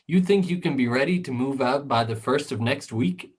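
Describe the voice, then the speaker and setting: A man in an amateur radio drama playing a smooth-talking, businesslike Hollywood film director. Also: medium pitch, moderate pace, lightly acted. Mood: smooth, persuasive. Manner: businesslike, persuasive.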